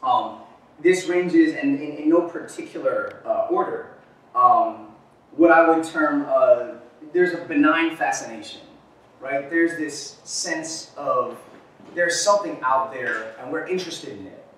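A man's voice: on the high side, a little slow, fairly bright tone, slight background noise. A man in his thirties giving a casual presentation at a talk event, speaking conversationally and leaning on "right?" and filler words. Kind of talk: lecture presentation. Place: gallery-like room with a screen. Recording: echoey room recorded from far off.

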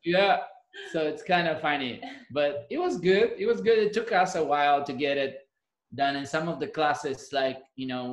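A man with a Bulgarian accent tells stories with energy in a slightly high voice. He is a dance teacher speaking over a video call. The room around him rings with echo. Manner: animated storyteller, acts out quoted lines with laughter. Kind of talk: casual video-call interview.

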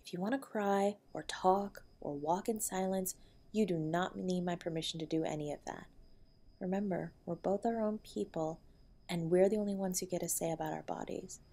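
Woman doing a tender wife comforting her husband in roleplay audio, lowish creaky voice, affectionate and soothing. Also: steady tone, normal speed, dark tone. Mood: gentle reassurance. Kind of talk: intimate roleplay audio acting.

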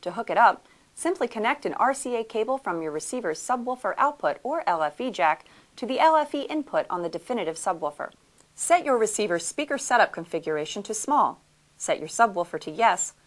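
A woman's voice: mid-range voice, big pitch swings, fairly quick. A woman reading scripted promotional narration for a product video in a polished, upbeat sales manner.

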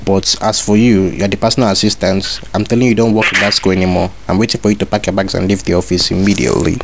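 A low-voiced man rattles off demands at a rapid clip over a phone line full of hiss. He plays a fake inspector, bossy and relentless, repeating the same orders again and again. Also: flat delivery. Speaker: prank caller posing as a school inspector. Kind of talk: prank phone call.

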